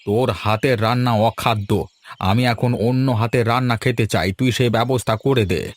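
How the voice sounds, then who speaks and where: A man voicing a grumpy old village father in a cartoon, badgering and insulting with drawn-out scolding. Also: mid-range voice, fairly even intonation, lightly acted. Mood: exasperated. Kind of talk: cartoon dialogue acting.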